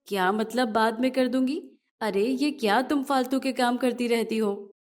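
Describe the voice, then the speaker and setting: A woman's voice: a little high, steady tone, normal speed, natural voice. Scornful, nagging household sister-in-law, performed by a woman, storybook drama delivery. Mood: scolding. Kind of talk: dramatized moral story acting.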